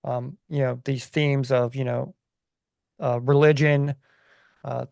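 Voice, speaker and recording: Male podcast talker, noticeable vocal fry, dry sound, measured and halting conversational delivery. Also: medium pitch, flat delivery, darkish tone, no echo. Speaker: podcast co-host or guest. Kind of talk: casual podcast discussion.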